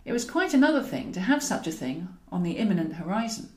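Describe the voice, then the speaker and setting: A British woman narrating a comic novel in a wry, expressive way, as a scripted audiobook reading. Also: medium pitch, fast. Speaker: author and narrator. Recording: roomy, echoing voice recording.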